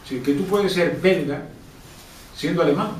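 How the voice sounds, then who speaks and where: A man's voice: on the high side, moderate pace. A man answering questions at a book presentation, emphatic and repeating key phrases. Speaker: author and professor speaking at a book presentation. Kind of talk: public discussion, answering audience questions. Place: event room, distant mic. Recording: roomy, distant mic, faint noise.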